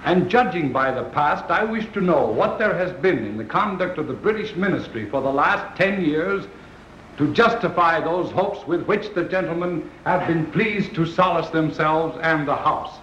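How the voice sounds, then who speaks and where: A man's voice: fairly high pitch, lively intonation, normal speed, fairly bright tone, slight background noise. An actor playing a solemn, impassioned colonial statesman in a formal, declamatory 1930s film style. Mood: grave. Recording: dull 1930s film soundtrack, roomy.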